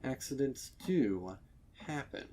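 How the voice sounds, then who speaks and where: A man reading a scripted horror story as narrator, with deliberate, dramatic delivery. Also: medium pitch, expressive, dark tone. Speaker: narrator of fan fiction readings.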